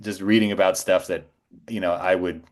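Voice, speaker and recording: A man's voice: sits low, little pitch movement, moderate pace. A man chatting casually as a guest on a podcast, talking in an enthusiastic, emphatic storytelling way. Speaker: guest voice actor and audiobook narrator.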